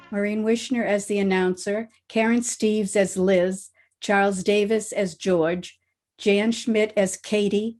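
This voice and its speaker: A woman's voice: medium pitch, a little mellow. Woman announcer reading scripted credits. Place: remote video-call recording.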